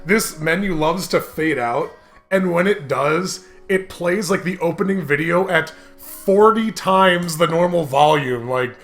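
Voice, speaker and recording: A man hosting a casual, comedic let's play, talking in an excitable, jokey and animated way. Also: a little high, unhurried. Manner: laughs often while talking. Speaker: host. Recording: music behind the voice. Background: video game music.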